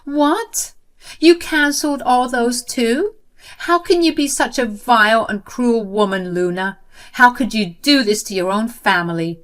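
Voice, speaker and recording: A woman voicing an entitled, angry sister-in-law with a shrill, demanding voice. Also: measured pace, close to an everyday voice. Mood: outraged.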